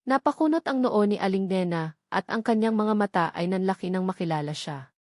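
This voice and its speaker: A woman's voice: mid-range voice, animated, brisk, a little mellow, dry recording, studio quality. A woman reading a romance story as dramatic scripted narration.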